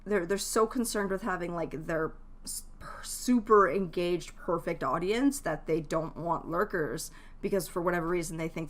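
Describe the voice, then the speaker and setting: A woman with a slightly low voice talks casually on a livestream. She is chatty and jokey, offering advice to her viewers while rambling and restarting her thoughts as she addresses the chat. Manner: jokey, laughs easily. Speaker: game streamer.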